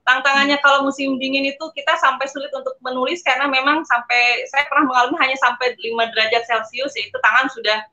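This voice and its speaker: An Indonesian-accented woman speaks as a guest, recounting experiences in an explanatory, informal way. Her voice is fairly high and very bright, with a forceful edge. The stream audio is a little dull and lo-fi. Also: level tone, fast talker, hardly any pauses. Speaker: guest speaker, former student abroad.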